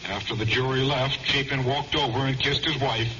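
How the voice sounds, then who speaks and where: A man's voice: mid-range voice, steady tone, a little fast, bright, forceful tone. American male correspondent, noisy radio. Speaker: news correspondent. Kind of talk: scripted radio news report. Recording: muffled radio with clear noise.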